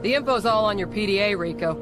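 Dry, businesslike male ally played by an actor, high-pitched, video game cutscene over music. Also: quick pace, close to an everyday voice. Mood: dry, brisk.

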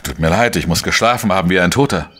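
Sigi Baumeister, low-voiced weary journalist. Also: male voice, quick pace, fairly bright tone, breathy edge. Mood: sober, troubled. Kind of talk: crime radio play, narration and dialogue.

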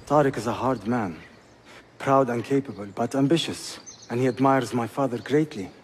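A man's voice: medium pitch, normal speed, slight background noise, lightly acted. Game role: shrewd young royal male actor, middle register, thoughtful and courteous, slight background ambience. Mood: earnest, wary. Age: young adult. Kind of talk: game cutscene acting.

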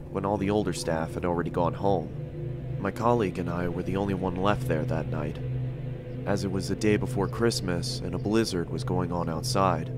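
Deep-voiced man narrating a creepy story. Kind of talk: scripted first-person narration.

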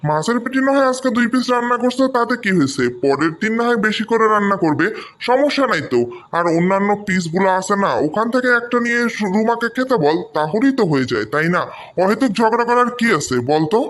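A fairly high male voice with a Bangladeshi accent , acted by a man, plays an elder brother in a cartoon. He scolds and placates, waving disputes away. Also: animated, fast talker, a bit bright, a little hiss or hum. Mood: exasperated. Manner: chiding, brushing quarrels aside. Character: placating, dismissive elder brother, head of household. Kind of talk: amateur cartoon story dubbing.

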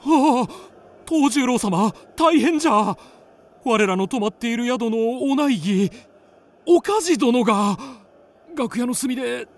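Casting: male actor for flustered troupe player, very high pitched, drawn-out alarmed delivery, period radio drama. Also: full character voice. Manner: breathless, exclaiming, flustered. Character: panicked male kabuki troupe actor bringing dire news.

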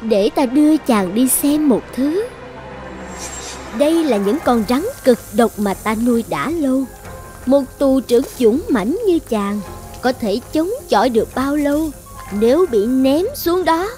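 Woman doing a bossy lovesick cartoon sorceress, highish voice, sweet talk with menace, bit of music behind. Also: lively intonation, unhurried, dark tone. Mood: menacing. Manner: commanding, coaxing, menacing. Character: possessive, lovesick, threatening sorceress dragon princess. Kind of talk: dubbed cartoon fairy-tale acting.

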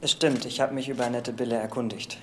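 An actor playing a young, defensive aristocratic heir in a crime audio drama. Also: male voice, medium pitch, little pitch movement, quick pace, fairly bright tone, a little hiss or hum, close to an everyday voice. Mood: pleading, insistent. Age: young adult.

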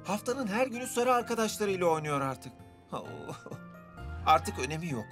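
Woman voicing a prankster boy car, teasing, bouncy, lively swooping pitch, music underneath. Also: normal speed. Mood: sulky. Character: mischievous playful young male car, prankster. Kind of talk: children's cartoon dubbing. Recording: bright music bed under voice.

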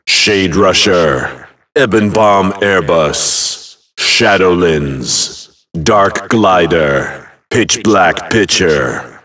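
An actor playing a grave, imposing fantasy video game announcer, calling out names one at a time in emphatic, theatrical bursts. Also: male voice, low voice, a bit bright, far from the mic, heavily acted.

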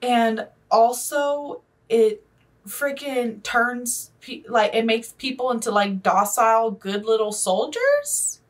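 A woman's voice: fairly high pitch, big pitch swings, slow, distant mic. A woman giving casual, off-the-cuff reaction commentary, thinking aloud informally. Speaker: reaction video host.

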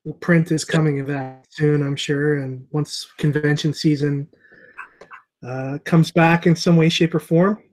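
A man's voice: a little high, fairly even intonation, measured pace, darkish tone. A middle-aged man hosting a casual interview over a video call. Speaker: host. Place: indoor room at home or shop, on a video call.

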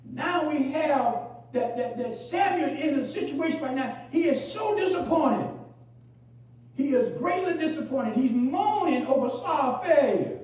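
A man with a very high voice preaches in a booming, echo-filled space, heard from well back from the mic. He repeats phrases, punctuates them with praise and hallelujah, and swings from shouts down to low murmurs while calling for the church to respond. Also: expressive. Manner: fills pauses with "praise God" and "hallelujah"; calls for congregation responses. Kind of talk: preaching a sermon. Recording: very echoey, from far off.

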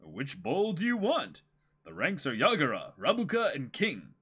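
A man's voice: on the high side, huge pitch swings, moderate pace, a bit bright, breathy edge, full character voice. A man voicing a helpful, matter-of-fact shopkeeper from a comic, explaining things plainly to customers. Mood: businesslike.

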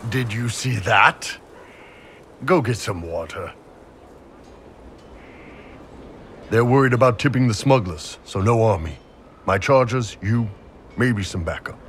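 A man voicing a big, gruff horned mercenary captain in a video game, blunt and casual in his talk. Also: on the low side, animated, a little slow, fairly bright tone, slight background noise. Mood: businesslike. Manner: blunt, casual, plain-spoken. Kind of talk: video game character acting.

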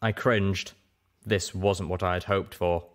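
A man's voice: deep voice, fairly even intonation, unhurried. A man reading a story aloud as scripted first-person narration.

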